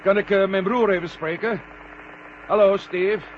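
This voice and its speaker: Stubborn curious spaceman in old radio drama, high male voice, bossy n impatient, noisy tape. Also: fairly even intonation, measured pace, dull audio. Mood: eager. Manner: commanding, impatient. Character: headstrong, curious male astronaut on a moon mission. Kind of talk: 1970 Dutch radio drama acting.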